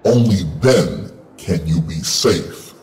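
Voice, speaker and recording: A man voicing a cold, deep robot overlord. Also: animated, a little mellow, roomy sound, faint music behind. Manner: cold, slow and menacing. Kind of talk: audio drama acting.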